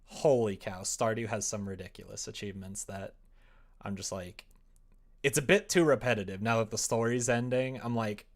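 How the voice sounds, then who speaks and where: A young man chatting casually with stream viewers while he works at his computer, sometimes muttering to himself. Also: fairly low pitch. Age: young adult. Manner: muttering to himself while typing, then livelier replies. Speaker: livestreamer writing a visual novel. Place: indoor room at a computer desk.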